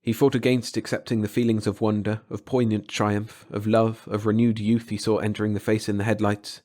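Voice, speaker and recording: A man's voice: fairly low pitch, little pitch movement, a little fast, a little mellow. A man reading a story aloud as an audiobook narrator, in a dramatic scripted style.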